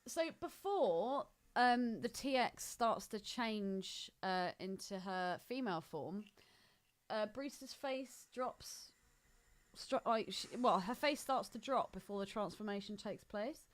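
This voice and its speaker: A woman's voice: medium pitch, expressive, slow pace. A woman co-hosting a podcast, casually recapping a film and critiquing it with blunt opinions. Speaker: podcast co-host.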